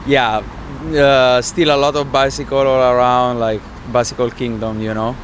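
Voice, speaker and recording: A man from Italy, answering street interview questions in an animated, laughing way. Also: medium pitch, moderate pace, slight background noise. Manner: animated, laughs while telling anecdotes. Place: city street outdoors.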